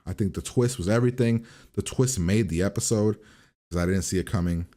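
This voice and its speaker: A man's voice: low voice, brisk, warm tone. A man hosting a reaction video, giving a casual, informal review and rambling as he repeats and revises his points.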